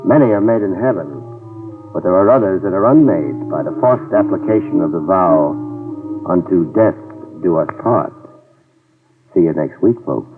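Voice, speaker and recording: A wisecracking private eye. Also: male voice, sits low, expressive, moderate pace, long pauses, over music. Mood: reflective. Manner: dry wisecracking delivery. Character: hardboiled male private eye, wry and tough. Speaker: lead actor and narrator. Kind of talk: 1950s radio drama acting. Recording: tinny, distant old radio transcription.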